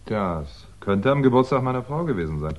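An actor playing an uneasy, wry crime writer under suspicion in a 1960s radio drama, speaking in a reflective, hesitant way. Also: male voice, medium pitch, very animated, a little fast, close to an everyday voice. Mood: explaining, uneasy. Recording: dull, band-limited 1960s radio recording.

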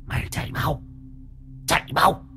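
Vengeful long-haired female ghost, man doing the voice; shrill, hushed and taunting. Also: measured pace, whispered, faint music behind. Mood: menacing.

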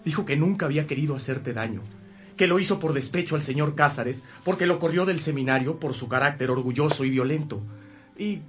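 A man's voice: on the high side, fairly quick, a bit bright, soft background music, natural voice. A man playing an earnest friend of a priest in a radio drama, conversational, with a Mexican accent. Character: earnest male friend bringing news to a priest.

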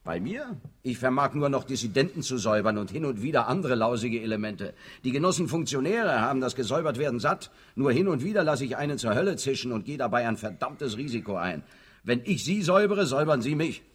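A man's voice: mid-range voice, sing-song, quick pace, breathy edge. An actor playing a brash, cynical communist party boss in a radio play, blunt and sardonic as he speechifies. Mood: weary cynicism. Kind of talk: theatrical German radio play acting.

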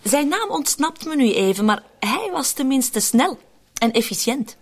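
A woman's voice: sits high, sing-song, unhurried, full character voice. An actress playing a scheming, mocking woman in a radio drama, sardonic and coolly in control. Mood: teasing, macabre. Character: scheming, mocking woman fond of morbid jokes.

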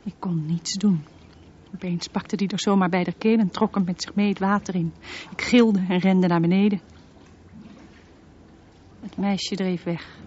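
A woman's voice: mid-range voice, steady tone, a little slow, long pauses, a little mellow, natural voice. An actress playing a haunted mother confiding memories of her dangerous son in a radio drama monologue. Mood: grave, anguished. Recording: muffled radio play recording, slight noise.

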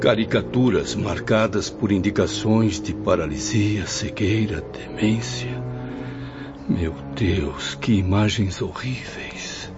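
An actor playing an appalled, wondering apprentice spirit in a radio drama, speaking with dramatic emphasis. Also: male voice, fairly low pitch, takes it slowly. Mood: horrified. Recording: dull lo-fi audio. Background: clear background music.

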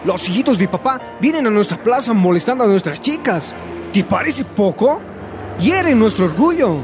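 A man's voice: high voice, animated, moderate pace, over music. A man with a Bolivian accent playing a cocky, domineering teenage bully in a radio drama, taunting and slangy. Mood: defiant, possessive. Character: cocky, bullying, possessive teenage gang leader.

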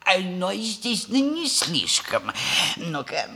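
A very high, bright old man's voice, slow and doddery yet eager to please. He is a fluttery comic bandmaster from a vintage radio play, fawning on his superior. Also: huge pitch swings, gravelly, full character voice. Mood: pleased, proud. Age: older. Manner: obsequious, fluttery, stammering repeats. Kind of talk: Soviet radio comedy acting, early 1960s.

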